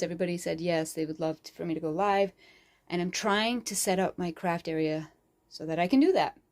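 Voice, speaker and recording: A woman with a sore, hoarse throat, casually talking through a craft tutorial in a small bedroom craft corner, rambling with lots of fillers. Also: lowish voice, darkish tone. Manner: rambling and chatty, with frequent fillers. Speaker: crafting channel host. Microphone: phone camera.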